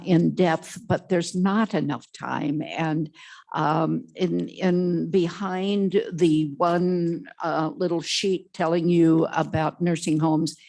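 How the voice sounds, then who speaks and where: A woman with a low, loud voice gives testimony at a committee hearing, speaking slowly and stopping often on fillers. She talks into a table microphone in a legislative hearing room. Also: fairly even intonation, vocal fry. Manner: slow, halting, with many fillers. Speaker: health care association representative testifying.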